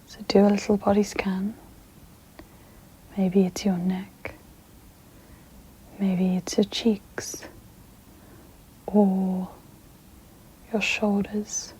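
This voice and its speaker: A woman guiding an ASMR sleep meditation in a breathy, mostly whispered voice, soothing and gentle, with long pauses. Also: medium pitch, a little hiss or hum, rough recording.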